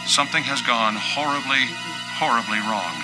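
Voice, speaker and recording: A grave news anchor, voiced by a male actor delivers an emergency broadcast in a video game. He sounds formal but shaken and halting, at a middling male pitch. Loud music plays behind him. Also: natural voice, low-quality recording.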